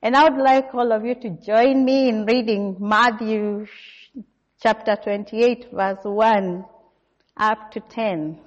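A middle-aged woman from Kenya with a Kenyan accent, giving a guest sermon in a church and speaking in a measured way with frequent "uh" fillers. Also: on the high side, steady tone. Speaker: guest preacher. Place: church hall. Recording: dull recording with long hall reverb.

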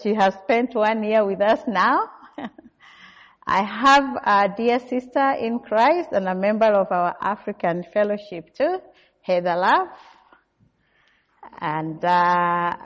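A middle-aged Kenyan woman delivers a sermon in an unhurried, measured voice with lots of small fillers. The recording is dull and lo-fi, and her voice rings out with a long church-hall reverb. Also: mid-range voice. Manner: measured, warm church address with frequent "uh" fillers. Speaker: guest preacher.

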